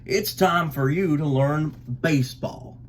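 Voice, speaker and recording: A man voicing an exasperated father duck from a storybook, loud and insistent. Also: on the high side, takes it slowly, slight background noise. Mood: firm, exasperated.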